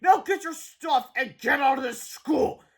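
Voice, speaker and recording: A man voicing a mean, gloating school principal in a children's storybook, yelling at pupils. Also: extremely high pitch, lively intonation, measured pace, pitched above the performer's own voice, full character voice. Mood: gloating anger.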